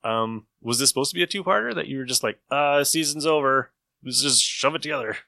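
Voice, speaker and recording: Bright-voiced man hosting a casual podcast. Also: mid-range voice, brisk, gravelly, no echo, studio quality. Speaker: podcast host. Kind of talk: casual chat reviewing old radio shows.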